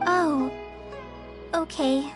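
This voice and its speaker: A woman's voice: high-pitched, steady tone, drawn-out words, faint music behind. A woman playing an earnest, eager young apprentice in a video game, respectful and unsure of themselves. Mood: hesitant, unsure. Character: earnest, eager young apprentice, high-voiced and self-doubting.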